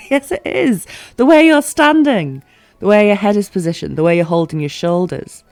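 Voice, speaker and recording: A woman playing a teasing, playful fantasy ruler in a scripted audio roleplay, with formal, expressive phrasing. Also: medium pitch, normal speed, no echo, faint music behind, lightly acted.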